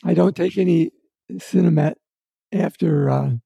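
Soft raspy older man, gravelly voice. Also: fairly high pitch, unhurried, dry recording, muffled recording. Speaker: interview guest, retired dentist. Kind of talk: casual interview answers.

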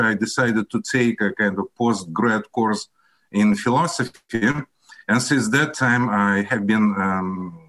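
An older man with a Russian accent, a professor answering interview questions in a reflective, hesitant way with many pauses and fillers. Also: lowish voice, a bit bright.

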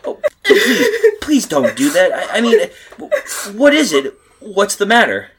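A man's voice: high-pitched, wildly swooping pitch, fairly bright tone, a little hiss or hum, full character voice. Man acting a stammering, frightened radio-horror hero. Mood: flustered, agitated. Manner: halting, stammering, dramatic. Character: frightened, bewildered man stranded in a nightmare world. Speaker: actor narrating and playing the lead. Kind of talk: old-time radio horror acting, first-person narration.

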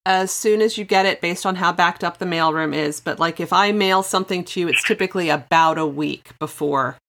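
A woman's voice: lowish voice, fairly quick, a bit bright. A woman hosting a conversational podcast interview in an encouraging, affirming way. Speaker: podcast host.

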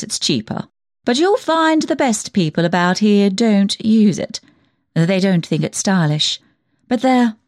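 An actress playing a snobbish, chatty village dressmaker and gossip in a comic monologue, fussy and full of insinuation. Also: female voice, mid-range voice, darkish tone, no room sound, clean studio sound. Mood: snide, insinuating. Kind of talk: comic dramatic monologue reading.

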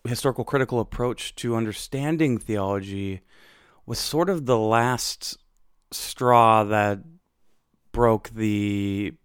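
Low smooth-voiced young man reflecting. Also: takes it slowly, no echo, studio quality. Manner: conversational, many fillers and restarts. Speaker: podcast host. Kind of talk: reflective personal storytelling.

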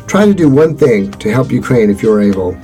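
A man hosting a podcast, reading a scripted explanation in an earnest, measured way. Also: mid-range voice, sing-song, mellow, soft background music. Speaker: podcast host.

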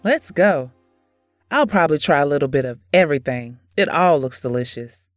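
Woman acting a quiet young lady, reserved, expressive pitch, dry close recording, audio drama. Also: normal speed, no echo, faint music behind. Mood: shy, agreeable.

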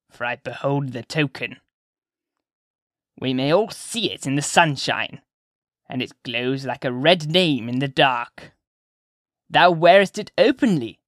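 A narrator voicing a sly, sinister old gentlewoman witch from a classic story, shrill and theatrical, with drawn-out archaic phrases. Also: no echo, studio quality. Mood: ominous, confiding. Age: older. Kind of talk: dramatised audiobook character reading.

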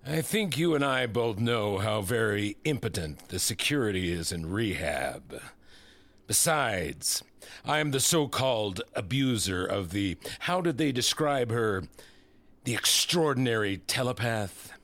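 A man playing a smug, manipulative disgraced senator in an audio drama, condescending, deliberate and insinuating. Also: fairly low pitch, lively intonation, a bit bright, no room sound.

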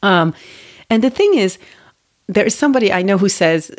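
A woman's voice: medium pitch, expressive, moderate pace. A woman in her forties from Turkey, hosting a podcast and telling her own story in a reflective, conversational way with restarts and fillers. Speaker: podcast host. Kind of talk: personal storytelling monologue.

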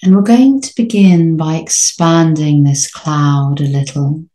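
A woman leading a guided sleep meditation in a soothing, gentle, unhurried manner with long pauses. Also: low-pitched, echoey room.

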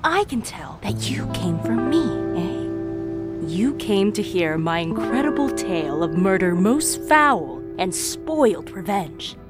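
Sly teasing storyteller woman, audio drama, really animated swoopy delivery, music playing loud behind her. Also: medium pitch, slow. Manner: conspiratorial, teasing, theatrical. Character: Marla, sly conspiratorial woman storyteller of murder and revenge. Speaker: narrator character of an audio drama. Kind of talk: theatrical dramatic monologue to the listener.

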